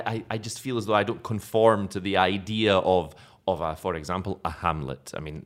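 A man's voice: low voice, a bit bright. A young Scottish man, an actor being interviewed, chatting casually and self-deprecatingly, laughing often. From Scotland.

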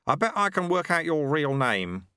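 A man doing a calm ancient monk. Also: on the high side, normal speed, smooth, no echo, clean studio sound, pitched above the performer's own voice. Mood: calm polite. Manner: polite, calm.

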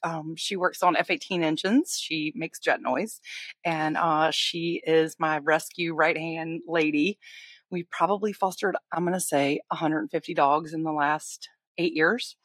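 Low-voiced chatty woman co-host. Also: fairly even intonation, unhurried, a bit bright, dry recording. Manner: friendly, chatty, laughing. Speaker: podcast co-host, lender, rescue founder. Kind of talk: casual chat.

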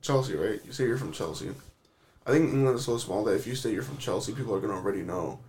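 A man's voice: fairly low pitch, expressive, quick pace, darkish tone, a little hiss or hum. Chatty man, argues his point. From Chicago, USA. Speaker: podcast co-host. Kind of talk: casual chat.

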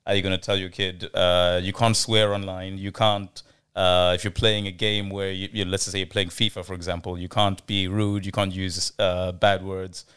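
A man with a very deep, smooth voice muses aloud in a casual podcast chat. He hesitates often, with many "um"s and "uh"s, and turns his thoughts into questions for the others. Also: flat delivery, normal speed, hardly any pauses, a bit bright. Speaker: podcast co-host.

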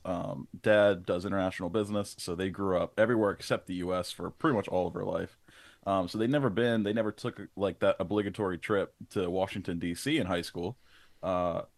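A young man co-hosting a podcast, slightly hoarse, telling stories casually with many ums. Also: low voice, dry recording. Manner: chatty storyteller with many ums. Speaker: podcast co-host. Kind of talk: casual chat.

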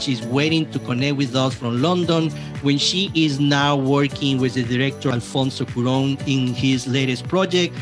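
A man hosting his podcast from his basement, talking in a lively, chatty way with small restarts. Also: mid-range voice. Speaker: podcast host. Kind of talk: enthusiastic podcast introduction and interview. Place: home basement.

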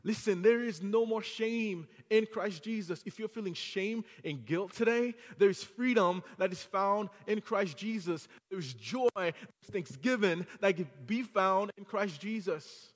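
A man from Haiti who speaks English with a French accent preaches in an earnest, building way. His voice sits fairly high for a man. The church sanctuary leaves a long, lingering reverb behind his words. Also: unhurried, hardly any pauses. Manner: earnest, rising to fervent exhortation. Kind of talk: sermon.

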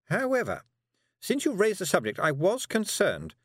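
A man's voice: sits high, huge pitch swings, normal speed, breathy edge, no room sound, studio quality, voice pushed up, heavily acted. A man voicing a fussy, nervous woman witch in an audiobook, talking in a prim, clipped way. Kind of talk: audiobook character voice.

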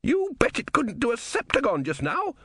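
A man's voice: extremely high pitch, huge pitch swings, moderate pace, bright, forceful tone, breathy edge, no room sound, pitched above the performer's own voice, heavily acted. A man voicing a crowd of petulant male philosophers squabbling over bets.

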